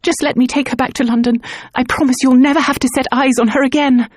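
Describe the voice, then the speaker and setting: Young woman, furious, indignant, high pitch, quick, woman voicing, storybook. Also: steady tone, dry recording, studio quality. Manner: indignant, sharp.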